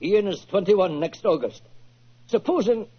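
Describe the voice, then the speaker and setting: A man playing a hearty old Scottish laird and grandfather in a 1940s radio drama, with a husky voice and a Scottish accent. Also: sits high, lively intonation, a little slow, lo-fi sound, full character voice. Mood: wry, animated. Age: older.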